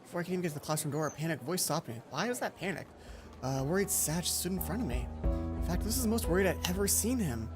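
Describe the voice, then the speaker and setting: A male let's player reads a visual novel aloud with casual commentary. His delivery is expressive and very varied in pitch. Game music plays clearly behind him. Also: quick pace.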